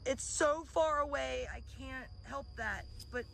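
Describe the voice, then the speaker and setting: A woman's voice: high voice, measured pace, gravelly, a bit bright. A woman talking spontaneously as she films as an amateur eyewitness. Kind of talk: spontaneous talk while filming. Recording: noisy amateur outdoor video.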